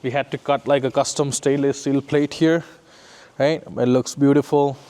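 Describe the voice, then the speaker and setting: A man's voice: mid-range voice, steady tone, moderate pace, dark tone, smooth. A man giving a casual, explanatory walkthrough demonstration as an installer, often checking in with a short tag question. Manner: explanatory, often tags sentences with "right?". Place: on location around a residential building, lobby to parkade.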